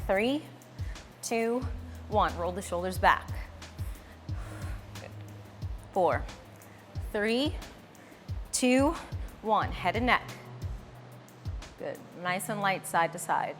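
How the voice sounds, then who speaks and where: A woman in her thirties coaching a workout, giving energetic, encouraging cues and instructions. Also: mid-range voice, long reverb tail. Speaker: fitness instructor. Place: bright wood-floored fitness studio. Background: background music.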